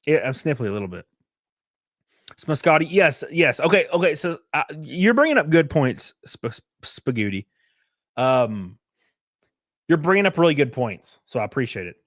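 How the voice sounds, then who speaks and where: Sniffly guy rambling on stream, kinda high voice, lots of ums. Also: very animated, moderate pace, a bit bright, no room sound, studio quality. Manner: rambling, lots of fillers and restarts. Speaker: commentary streamer. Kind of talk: casual commentary reading chat.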